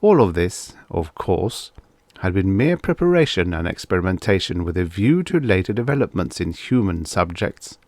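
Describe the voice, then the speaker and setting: A man's voice: low voice, big pitch swings, normal speed, darkish tone, no echo. A man narrating vintage science fiction stories in a scripted audiobook style.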